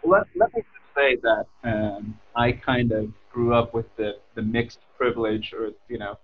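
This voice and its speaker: A man's voice: mid-range voice, very animated, measured pace, phone-quality sound. An American man in his thirties telling his story casually as an interview guest, hesitant and often correcting himself. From United States. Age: 30s.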